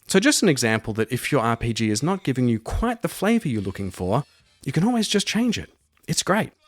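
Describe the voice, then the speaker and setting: A man hosts a roleplaying podcast with a scripted, narrated delivery. His pitch moves in a lively, animated way, and soft music plays behind him. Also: no room sound. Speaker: podcast host and solo game master.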